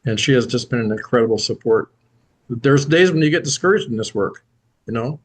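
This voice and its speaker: A man's voice: mid-range voice, animated, brisk. Sincere man praising his wife. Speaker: ministry leader.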